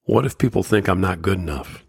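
A man's voice: deep voice, animated, a little fast, vocal fry, darkish tone, husky, dry recording, clean studio sound. A man hosting a solo podcast, talking candidly and motivationally, his voice slightly scratchy from a summer cold. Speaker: podcast host.

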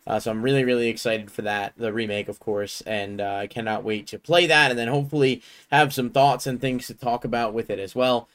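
A young male podcast host who sounds a bit hoarse from a sore throat. He talks in a relaxed, meandering way with plenty of ums and uhs. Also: medium pitch, a little fast, few pauses. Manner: relaxed, rambling, many fillers. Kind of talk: casual chat.

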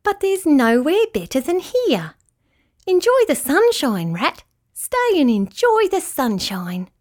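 A woman's voice: high voice, huge pitch swings, takes it slowly, a little mellow, pitched above the performer's own voice, heavily acted. A woman voicing a proud, opinionated rabbit in a children's storybook, haughty and emphatic but good-natured. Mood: smug, friendly.

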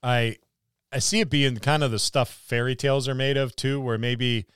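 A man's voice: mid-range voice, fairly even intonation, normal speed, a bit bright, smooth, no room sound, studio quality. A man co-hosting a casual podcast discussion about tabletop roleplaying, musing aloud and building little imagined scenarios. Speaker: podcast co-host.

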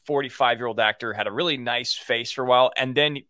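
A man's voice: mid-range voice, fast, sharp tone, no echo, studio quality. A man with a young-sounding voice co-hosting a casual podcast, rambling with lots of "like" fillers and the odd joke. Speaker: podcast co-host.

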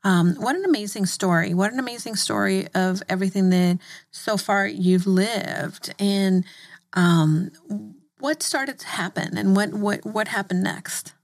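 A woman's voice: medium pitch, unhurried. Supportive woman interviewer. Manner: supportive, many affirming murmurs. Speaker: interviewer host. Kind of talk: casual interview conversation.

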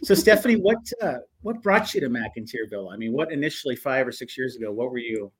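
Halting, husky-voiced man hosting. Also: medium pitch, lively intonation. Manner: upbeat, halting, many uhs. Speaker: show host. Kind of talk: casual livestream hosting.

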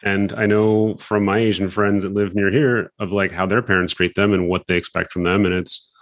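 A man in casual conversation, relaxed and affirming, chatting along with plenty of filler words. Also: low voice, moderate pace.

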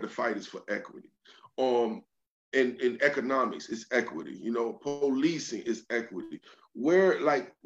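A man hosting a casual interview, relaxed and affirming, often backing his guest up with short agreements. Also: medium pitch, lo-fi sound. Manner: casual, affirming, lots of "yeah" and "mm-hmm". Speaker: host.

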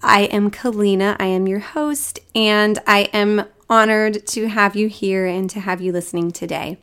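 A woman's voice: medium pitch, fairly even intonation, normal speed, a bit bright, clean tone. A woman hosting a solo podcast in a casual, upbeat and encouraging way, still a little congested and scratchy from a recent cold. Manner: upbeat and encouraging, with frequent fillers. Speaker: podcast host.

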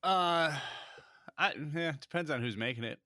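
Man, laughing podcast co-host, animated swings in pitch. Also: normal speed, no echo, clean studio sound. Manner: laughs often, animated, bright. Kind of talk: casual chat.

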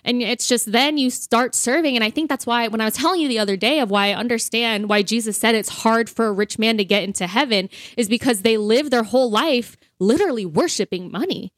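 A woman's voice: on the high side, fairly quick, few pauses, no room sound, studio quality. An American woman co-hosting a podcast, talking casually and earnestly with emphatic, informal phrasing full of "like". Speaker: podcast co-host. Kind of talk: casual conversational podcast talk.

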